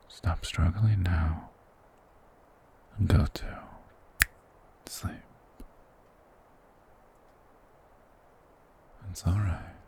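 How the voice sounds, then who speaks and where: A man performs an ancient-sounding, sinister yet soothing male forest spirit in a hypnotic fantasy ASMR roleplay. The voice is very deep and heavily gravelled, with a breathy hush. He speaks slowly and formally, coaxing the listener along. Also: slight background noise, exaggerated voice. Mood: commanding, lulling.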